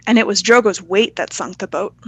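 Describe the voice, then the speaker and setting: A woman's voice: mid-range voice, fairly quick, a bit bright, slightly rough. Woman as a nosy old hobbit villager. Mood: gossipy. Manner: folksy, gossipy. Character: old male hobbit villager, suspicious pub gossip.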